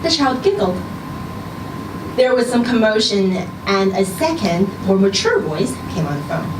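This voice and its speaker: A woman reading a short story aloud at a live event, with measured, expressive storytelling. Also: mid-range voice. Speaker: story reader. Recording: roomy, distant, noisy live event recording.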